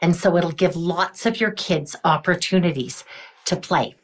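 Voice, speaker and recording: Slow, low-voiced older woman teaching music. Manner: clears throat often, counts beats aloud. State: croaky voice. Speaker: music educator. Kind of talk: teacher webinar demonstration.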